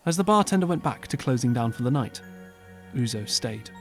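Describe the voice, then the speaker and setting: A man narrating an audiobook as scripted storytelling, measured and earnest, with dramatic pauses. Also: medium pitch, lively intonation. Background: clear background music.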